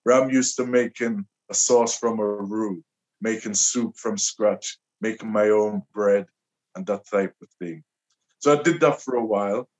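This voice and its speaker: Low-voiced man, reflective. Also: poor audio. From Liverpool, England. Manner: reflective, measured, with pauses and restarts. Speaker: guest poet. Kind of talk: conversational interview.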